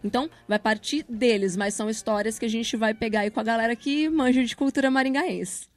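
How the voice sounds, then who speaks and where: A woman's voice: a little high, a little fast. A Brazilian woman giving casual interview answers, explaining things in an informal, slangy way as a project leader and teacher.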